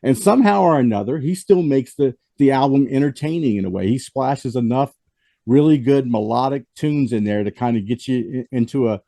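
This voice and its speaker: A man's voice: medium pitch, gravelly, dark tone, no room sound. A man hosting a casual music review chat and losing his voice after the flu, rambling with frequent restarts and fillers. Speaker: host.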